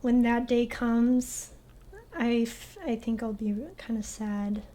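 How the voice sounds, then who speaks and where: Young woman, game streamer, soft hesitant chat, slow, mellow, slightly high voice. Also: flat delivery. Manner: soft, hesitant, many fillers. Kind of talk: casual gaming stream chat.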